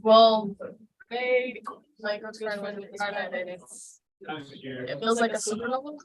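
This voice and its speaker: A woman's voice: mid-range voice, animated, measured pace, recorded from a distance, crowd noise, rough recording. A woman in her thirties answering interview questions in an earnest, searching way. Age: 30s. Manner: earnest, searching, talks of spirituality and energy. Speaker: guest. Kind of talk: reflective interview talk.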